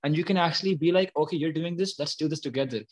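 A man's voice: a little high, fast. A man, talking earnestly and explaining his views as an activist guest in an interview. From Pakistan. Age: early 20s. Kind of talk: spontaneous interview talk.

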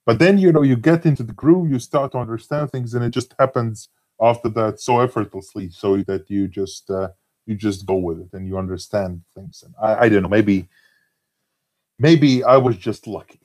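A man with a Latvian accent speaks in a slightly low voice. He talks in a casual, halting way, full of "you know" and restarts. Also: darkish tone. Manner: hesitant, many fillers and restarts. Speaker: forum member being interviewed. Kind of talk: casual conversation.

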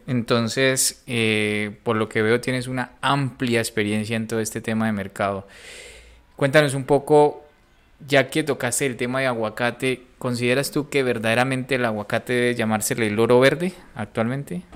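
A man with a smooth, resonant voice hosts a podcast interview. His pitch sits in the middle range, and he speaks conversationally as he introduces topics and puts questions to his guest. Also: fairly even intonation, normal speed.

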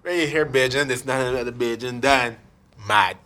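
A man's voice: medium pitch, normal speed, sharp tone. A man with a Bajan accent from Barbados, hosting a music countdown with upbeat hype. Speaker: radio co-host. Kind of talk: casual countdown chat.

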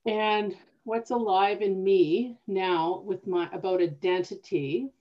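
A woman's voice: mid-range voice, steady tone, slow pace, muffled recording. A woman co-hosting a casual conversation, thinking aloud with small restarts. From Canada. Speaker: co-host. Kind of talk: casual conversation over video call.

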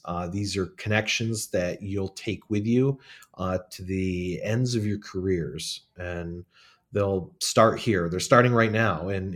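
Young faculty guy, lowish voice, relaxed and talkative, fillers and chuckles. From northern New York State. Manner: friendly, rambling, with fillers and chuckles. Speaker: law school faculty member, podcast guest. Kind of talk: casual interview answers.

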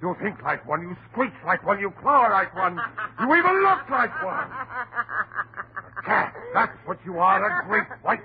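A man's voice: high voice, very animated, a little slow, crowd noise. A man playing an overwrought, melodramatic husband on the edge of madness in a 1940s radio horror play. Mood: bitter, furious. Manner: anguished, melodramatic, swinging from murmurs to shouts. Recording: muffled, faintly noisy old radio recording.

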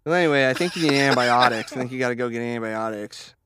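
A man's voice: medium pitch, rapid-fire, crowd noise. A man co-hosting a podcast, rambling and laughing in casual banter, stuffed up from allergies. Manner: rambling, laughs at his own setup. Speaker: podcast co-host.

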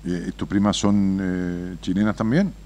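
A man's voice: low-pitched, fairly even intonation, measured pace, darkish tone. A man, hosting a radio show, telling a parable with dramatic whispers and swells, then chatting with a caller. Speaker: radio host.

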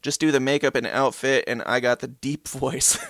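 A man's voice: medium pitch, steady tone, moderate pace, fairly bright tone, no room sound. A man co-hosting a satirical news podcast, reading articles aloud with frequent ums, restarts and laughing asides. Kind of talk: casual satirical news chat.

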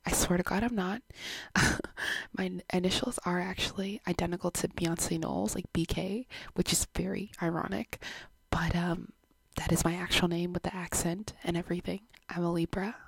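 A woman's voice: fairly low pitch, steady tone, moderate pace, gravelly, husky, no room sound. A woman in her twenties from Canada, hosting her own podcast in a chatty, giggly, self-deprecating way, recorded at home on her own microphone. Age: 20s. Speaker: podcast host. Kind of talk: casual chat.

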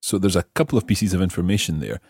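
A man hosting a scripted language lesson, explaining clearly and repeating phrases slowly and deliberately. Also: low voice, a little mellow, no echo, studio quality. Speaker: language teacher and host.

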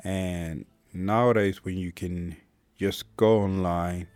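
A man's voice: low voice, steady tone, slow, darkish tone, no echo. A man in his thirties with a Swedish accent, hosting a candid, reflective monologue podcast and swearing casually. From Sweden. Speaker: podcast host.

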